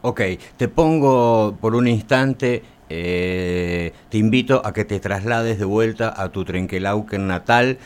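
Low-voiced male interviewer. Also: takes it slowly, hardly any pauses, clean tone. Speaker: radio interviewer. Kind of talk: conversational interview.

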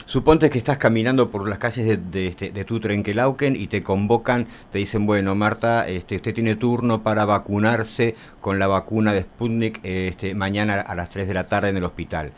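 Low-voiced male interviewer. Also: fairly even intonation, moderate pace, hardly any pauses, slight background noise. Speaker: radio interviewer. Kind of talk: conversational interview.

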